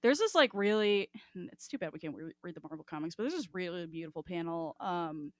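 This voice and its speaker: A woman's voice: on the low side, expressive, a little fast, no room sound, studio quality. A woman co-hosting a podcast in casual chat, effusive and rambling, swearing freely. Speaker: podcast co-host.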